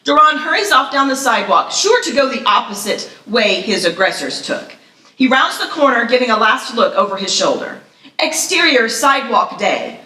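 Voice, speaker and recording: A woman narrates a screenplay live, reading the action lines vividly. Her voice is bright and on the high side. The sound is a little distant, as from a mic across the room. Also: very animated, normal speed, echoey room.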